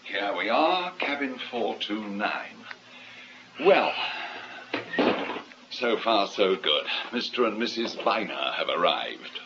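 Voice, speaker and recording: An actor playing a devious, smooth, self-assured failed financier in a radio comedy drama. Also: male voice, fairly low pitch, lively intonation, slow, long pauses. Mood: breezy, wry. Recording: muffled, noisy radio broadcast copy.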